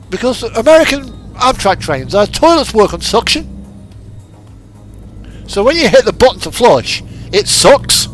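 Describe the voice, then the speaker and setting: A British man with a high, lively voice chats casually as he plays. He takes his time over rambling, crude and jokey anecdotes, with music playing behind him. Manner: crude, jokey, chuckles often. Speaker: game streamer. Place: indoors over driving game audio. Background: music and vehicle engine sounds.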